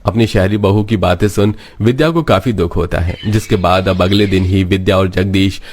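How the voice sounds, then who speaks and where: A male narrator reading a scripted cartoon story. His voice is slightly low, and he delivers the tale as a storyteller. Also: moderate pace, a little hiss or hum.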